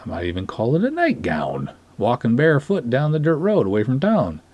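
A man's voice: mid-range voice, huge pitch swings, a little fast, husky, no room sound, muffled recording. A man giving a dramatic story reading in a formal, wordy, theatrical way. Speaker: podcast host reading a short story aloud. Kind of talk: dramatic reading of narrated dialogue.

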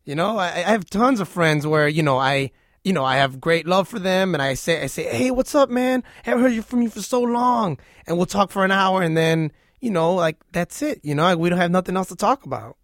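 An American man with a fairly high-pitched voice hosts a radio conversation. He talks casually in slang, doubling back on words as he forms his thoughts. The studio sound is dry and close. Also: lively intonation, fairly quick, fairly bright tone, dry recording. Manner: conversational, repeating words while forming questions. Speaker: radio host interviewing a caller.

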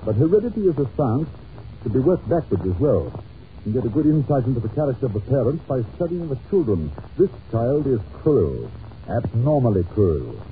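An actor playing an authoritative, analytical detective in an old-time radio drama, incisive and commanding in manner. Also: male voice, mid-range voice, close to an everyday voice. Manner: incisive, commanding, deliberate in deduction. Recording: muffled noisy old radio recording.

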